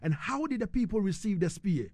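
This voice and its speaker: A male radio host speaks in a high, very lively voice. His delivery is emphatic and conversational, and the sound is dry and close, suited to live interviewing. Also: a little fast, dry recording. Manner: emphatic, repeats "you know what I mean". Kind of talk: live radio interview, reading listener messages.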